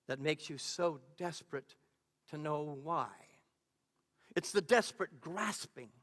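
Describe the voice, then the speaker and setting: A man voicing a calm, comforting divine spirit, faint and solemn, in a spoken spiritual story. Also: full character voice. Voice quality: hushed, low, faint. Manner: slow, solemn, measured. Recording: echoey hall with long reverb.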